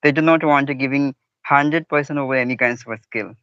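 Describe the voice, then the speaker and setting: A man's voice: mid-range voice, brisk, bright, forceful tone, clean tone, no echo, highs cut off. A man, speaking politely and formally in a conversation practice chat and addressing his partner as ma'am.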